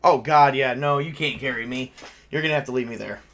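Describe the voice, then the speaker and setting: A man's voice: mid-range voice, a little fast, a bit bright. A man acting as game master, narrating and making rulings in a casual, off-the-cuff, conversational way.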